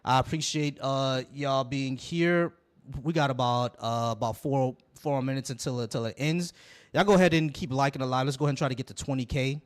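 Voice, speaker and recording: Fast-talking American man hosting a debate. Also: mid-range voice, steady tone, smooth. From Florida. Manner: repeats phrases to hold the floor, firm and insistent. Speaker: livestream debate host. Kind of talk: heated live debate.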